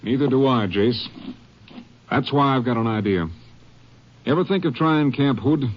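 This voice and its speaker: A man acting a practical Texas Ranger captain in a 1950s radio drama, with folksy, deliberate authority. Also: medium pitch, expressive, a little hiss or hum, natural voice. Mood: thoughtful. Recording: muffled old radio recording.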